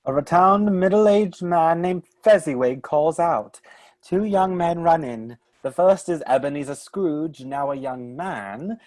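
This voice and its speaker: A narrator reading stage directions for a radio play in an unhurried, even storytelling manner. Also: mid-range voice.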